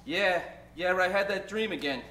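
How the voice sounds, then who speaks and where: A man's voice: high-pitched, moderate pace, sharp tone, echoey room. A man playing a down-on-his-luck fellow in an old-time radio comedy, hammy and full of period slang. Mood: weary, grumbling. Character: grumbling, down-on-his-luck man trying to buck up.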